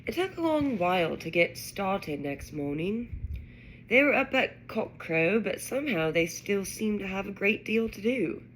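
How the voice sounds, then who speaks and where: A woman putting on a British accent to read a children's storybook aloud in a measured, expressive way. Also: medium pitch, a little hiss or hum, low-quality recording. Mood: storytelling. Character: British storybook narrator reading a wizard school tale. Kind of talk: scripted narration.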